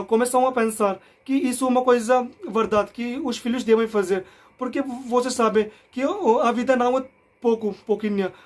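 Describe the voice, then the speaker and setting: A young man from India speaking Portuguese as a learner. He explains things casually to the camera, often restarting and correcting himself and checking in with "entende?". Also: very high voice. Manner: halting, frequent restarts and self-corrections. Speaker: vlogger.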